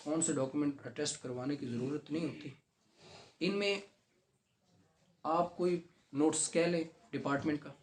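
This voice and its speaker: A man teaching a law class, reading legal text slowly and then explaining it conversationally to students. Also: a little high. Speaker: law lecturer. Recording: echoey classroom.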